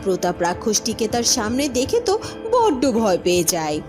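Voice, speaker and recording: A woman's voice: medium pitch, huge pitch swings, fairly quick, music in the background. A woman narrating a cartoon fairy tale in a storytelling style.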